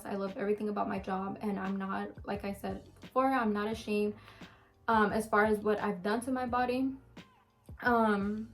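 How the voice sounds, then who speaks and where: A woman of about twenty chatting casually to camera, answering viewer questions in a candid, rambling way with many ums. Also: mid-range voice. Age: around twenty. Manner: chatty, frequent ums and restarts, open and candid.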